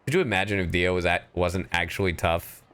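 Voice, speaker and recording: A man's voice: sits low, fairly quick, fairly bright tone, clear, resonant tone. A man livestreaming a video game, giving casual, blunt and joking commentary as he plays. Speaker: livestreamer, professional voice actor. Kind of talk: casual gaming stream commentary.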